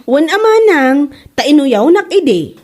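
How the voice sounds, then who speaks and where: High, animated young Ilocano woman played by a woman. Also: measured pace. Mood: unbothered. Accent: Filipino, Ilocano. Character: young single working woman, unbothered and polite. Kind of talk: Ilocano comedy radio-drama dialogue.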